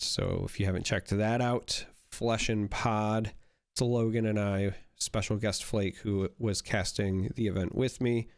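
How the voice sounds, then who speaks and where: A man's voice: on the low side, gravelly, no echo, clean studio sound. A young man chatting casually while opening card packs, rambling with lots of fillers and restarts, his voice still a little worn from being sick. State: recovering from illness, voice not fully back. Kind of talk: casual pack-opening commentary.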